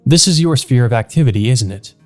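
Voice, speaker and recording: A man's voice: mid-range voice, expressive, a little fast, faint music behind, natural voice. A man voicing a composed, eccentric teenage schoolgirl in a visual novel, with formal, dry phrasing.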